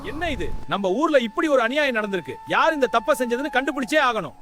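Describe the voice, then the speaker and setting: A man playing earnest, plain-spoken village men in animated story dialogue. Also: very high voice, fast, faint music behind, exaggerated voice. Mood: stern.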